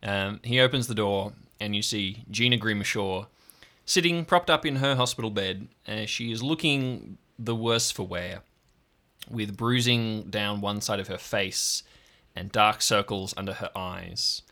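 Male game master, fairly deep but sharp-toned, improvising scene narration for an audio role-play. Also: a little slow.

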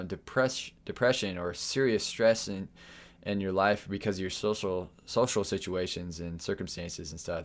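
Low-voiced man rambling through a podcast. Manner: rambling, frequent fillers and restarts. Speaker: podcast host. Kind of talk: casual solo podcast talk.

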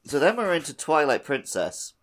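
A man's voice: on the high side, wildly swooping pitch, normal speed, crowd noise. A man hosting a casual gaming podcast chat, jokey and often chuckling as he talks. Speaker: podcast host.

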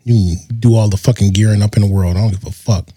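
A man's voice: fairly low pitch, fairly even intonation, fast talker, mellow, slightly rough, no echo, clean studio sound. A man co-hosting a casual podcast, blunt, profane and skeptical, his voice a little off. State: voice slightly off. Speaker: podcast co-host. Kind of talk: casual pop culture podcast chat.

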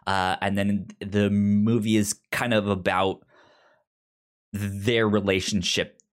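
A man's voice: lowish voice, slow. A man hosting a casual podcast, talking loosely with many fillers and frequent stuttered repeats of opening sounds. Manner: filler-heavy, relaxed. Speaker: podcast host.

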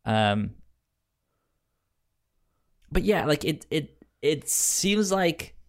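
A man co-hosting a podcast in casual conversation, halting and given to chuckling as he goes through his notes. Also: a little high, sing-song. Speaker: podcast co-host.

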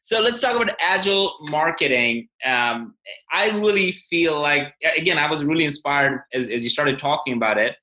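A man's voice: fairly high pitch, expressive, moderate pace, bright, forceful tone. A man hosting a casual interview, chatting informally as he sets up questions for his guest. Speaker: podcast host. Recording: echoey, roomy sound.

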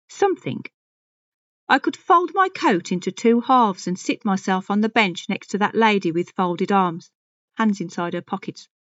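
A woman's voice: mid-range voice, no echo, lo-fi sound. Haughty, fussy older woman, swooping intonation. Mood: self-important, wistful, then irritated, disdainful. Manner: pompous, clipped repetitions, self-important. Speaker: audio story reader. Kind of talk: dramatic first-person monologue reading.

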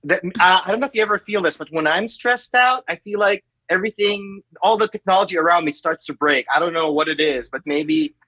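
A man's voice: sits high, fairly quick, hardly any pauses, pushed and bright, breathy edge. A man in his forties from the Philippines, chatting casually as a podcast guest. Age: 40s. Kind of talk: casual chat.

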